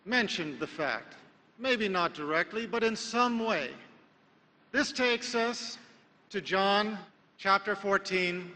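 An American-raised man giving an emphatic sermon lecture, with rhetorical questions and measured pauses. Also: high-pitched, pushed and bright, in a large hall. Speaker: Islamic preacher.

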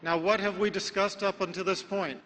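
A man raised in America delivers a sermon lecture with a high, bright voice. He talks emphatically, pausing to ask rhetorical questions, and his words carry a long reverb as if spoken in a large hall. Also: fairly even intonation. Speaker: Islamic preacher.

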